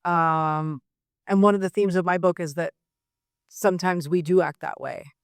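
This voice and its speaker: A woman author on a panel who speaks in a loud, forthright voice while thinking through an idea. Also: fairly low pitch, steady tone, moderate pace, no echo, studio quality. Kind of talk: panel discussion answer. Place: library event space.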